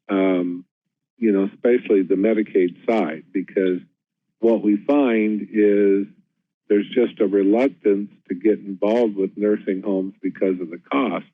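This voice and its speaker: A man's voice: low voice, dry recording. A man on a panel giving an unscripted explanation in hesitant, broken phrases. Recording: tinny, phone-like conference line.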